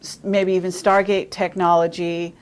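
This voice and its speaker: A woman hosting a podcast, putting questions to a guest in a halting way, with fillers and restarts. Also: fairly low pitch, flat delivery, smooth.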